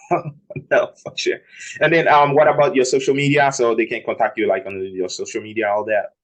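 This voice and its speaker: A man's voice: medium pitch, little pitch movement, brisk, fairly bright tone, low-quality recording. A man hosting a casual interview, speaking hesitantly with many "um" and "I mean" fillers. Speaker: interview host.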